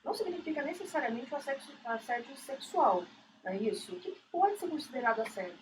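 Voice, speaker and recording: A woman with a São Paulo accent chats casually as a radio co-host. Her voice sits fairly high, and it is picked up a little away from the mic with some noise behind it. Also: animated, quick pace, distant mic. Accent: Brazilian, São Paulo. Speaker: co-host of a women's radio talk show. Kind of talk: casual chatty radio hosting. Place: radio studio.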